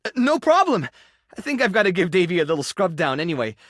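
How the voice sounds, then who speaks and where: A male actor in a dating video game playing an earnest, tender, awkward keeper of an animal rescue, sincere and prone to halting rambles. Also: sits high, sing-song, a bit bright, no room sound, clean studio sound, full character voice. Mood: excited, flustered. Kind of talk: video game character voice acting, dating simulator.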